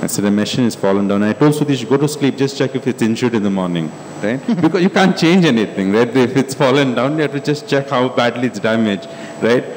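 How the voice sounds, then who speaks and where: A middle-aged man on a stage panel, speaking calmly and in a measured way into a handheld mic. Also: mid-range voice. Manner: calm, measured explaining. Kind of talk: panel discussion. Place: large stage hall. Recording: echoey hall with faint hum.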